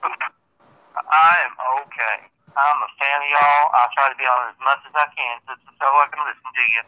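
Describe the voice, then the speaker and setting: A man's voice: mid-range voice, steady tone, moderate pace, bright, forceful tone, poor audio. A man in his forties calling in to a live show, friendly and chatty. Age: 40s. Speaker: caller. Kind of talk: casual phone call-in chat.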